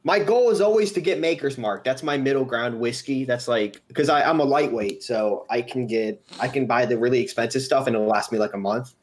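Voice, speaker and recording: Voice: mid-range voice, brisk, hardly any pauses. A person chatting casually and in a rambling way on an online voice call. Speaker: amateur voice actor in a live comic dub. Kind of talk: casual chat. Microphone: voice call microphone. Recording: faint noise and clicks, online voice call.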